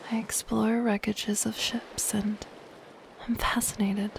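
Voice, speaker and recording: A woman voicing a lonely, curious, softly menacing siren in a breathy, whispered, gently lilting ASMR roleplay. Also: medium pitch, level tone, slow, slight background noise. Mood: wistful, fascinated. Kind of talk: soft-spoken ASMR roleplay.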